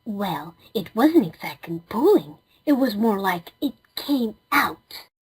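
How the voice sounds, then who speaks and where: A woman playing a chatty, excitable, evasive witness in a courtroom game fandub. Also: mid-range voice, takes it slowly, slightly rough, heavily acted. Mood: defensive. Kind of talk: anime game fandub acting.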